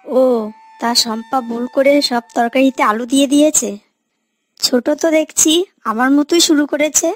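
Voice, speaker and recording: A woman's voice: high voice, normal speed, pauses often, no echo. A woman voicing a spiteful, hard-hearted elder sister-in-law in a cartoon, coolly mocking. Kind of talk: cartoon character dialogue. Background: faint music.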